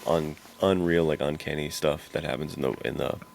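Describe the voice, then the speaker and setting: A man's voice: very deep voice, fairly even intonation, brisk, vocal fry, a little mellow, slight background noise. A man hosting a podcast, chatting casually and slangily in a laid-back way. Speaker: podcast host. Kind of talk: casual chat about western films.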